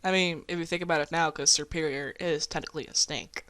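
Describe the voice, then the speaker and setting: Low-pitched woman in casual chat, dry sound. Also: steady tone, quick pace, fairly bright tone, dry recording. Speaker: voice actor.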